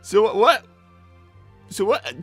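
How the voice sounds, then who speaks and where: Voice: mid-range voice, expressive, takes it slowly, loud music behind the voice. A performer voicing a flustered young boy fox cartoon character, stammering and repeating words while hosting a game livestream. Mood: flustered, puzzled. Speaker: streamer hosting a live show.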